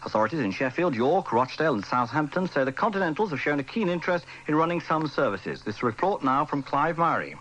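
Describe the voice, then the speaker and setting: A man's voice: a little high, animated, fast, hardly any pauses, fairly bright tone. A man with a British accent reading the news formally on the radio. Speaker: radio newsreader. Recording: faintly noisy old radio broadcast.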